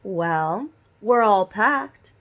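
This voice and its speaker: A woman voicing a practical, hearty father in a storybook, loud and emphatic. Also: mid-range voice, lively intonation, extremely slow, exaggerated voice. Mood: brisk.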